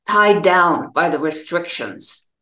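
An older American woman giving reflective interview answers as a novelist, in a halting, thoughtful way with pauses mid-phrase. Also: lowish voice, animated, sharp tone, reverberant, low-quality recording.

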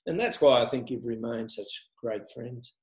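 Older man, animated coach in echoey room. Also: mid-range voice, moderate pace. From Australia. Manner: animated storytelling with frequent laughs. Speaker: tennis coach, guest. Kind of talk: reminiscing conversation.

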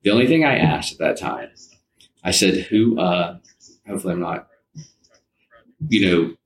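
A man's voice: low voice, animated, moderate pace, low-quality recording. A man speaking as an interview guest, recounting experiences informally and restarting his sentences as he goes. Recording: background audio noise behind voice. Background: faint background audio.

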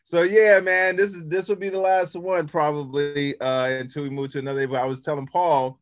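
A man's voice: a little high, little pitch movement, moderate pace. A man hosting a podcast, chatting casually and animatedly with slang and many restarts. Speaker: podcast host. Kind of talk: casual chat.

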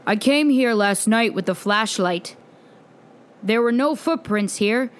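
A woman's voice: on the high side, expressive, slow. A woman voicing a haunted young war veteran in a storybook, casual, laconic and a little hesitant. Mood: weary, subdued.

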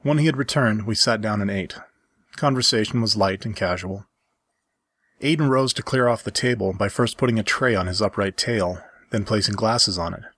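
A man narrates a story in the first person, as an amateur reader would. His voice sits fairly low and has a very husky, breathy grain. The sound is dry and close. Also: moderate pace, a bit bright, dry recording.

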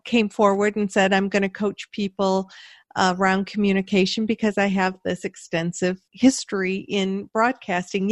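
A woman's voice: mid-range voice, no room sound. A woman with a North American accent, interviewing a guest in a conversational way. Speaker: interviewer and coach.

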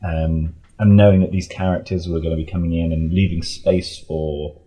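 A man's voice: deep voice, flat delivery, normal speed, warm tone, slightly rough. A man talking casually as an interview guest, a composer speaking about his creative work.

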